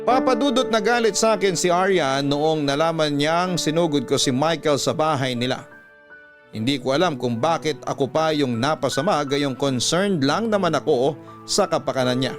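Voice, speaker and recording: Higher-pitched Filipino male radio storyteller. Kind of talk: scripted dramatic narration of a listener's letter. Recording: music behind the voice. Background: background music.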